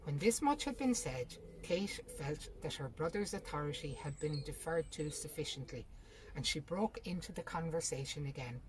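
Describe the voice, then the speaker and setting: A woman's voice: low voice, lively intonation, normal speed, noisy background. A woman reads a literary short story aloud in narration.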